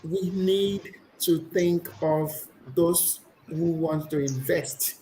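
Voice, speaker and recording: A woman's voice: fairly low pitch, steady tone, slow pace, warm tone, a little hiss or hum, low-quality recording. A woman guest in an interview, explaining her views on business at length with frequent restarts. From Nigeria. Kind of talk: conversational interview.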